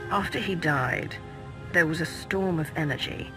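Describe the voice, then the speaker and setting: An actor playing a composed, confident Sith sorcerer in a video game, with a measured, assured manner. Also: male voice, a little high, music in the background, close to an everyday voice. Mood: grave, troubled. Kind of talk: video game cutscene acting.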